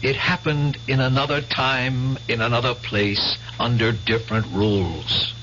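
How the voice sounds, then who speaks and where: A dull, noisy vintage radio drama recording carries a reluctant man. His medium-pitched voice has a sharp, tense edge, and he argues defensively in measured, placating phrases. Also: steady tone. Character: reluctant man backing away from an old pact. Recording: dull, hissy, humming old radio recording.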